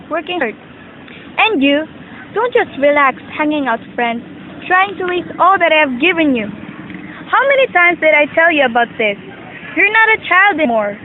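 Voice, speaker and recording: A woman's voice: sits high, a little hiss or hum. A young woman acting a stern, ailing old rich father who lectures his sons in an amateur student audio drama. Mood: stern, scolding. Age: young adult. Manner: lecturing, admonishing. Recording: muffled amateur recording with music.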